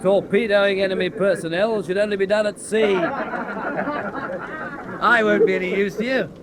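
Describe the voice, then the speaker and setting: An actor playing a cocky, hard-drinking submarine commander, jovial, teasing and theatrical. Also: male voice, high voice, steady tone, normal speed, over a noisy crowd, lightly acted. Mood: teasing, tipsy. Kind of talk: dramatic radio acting.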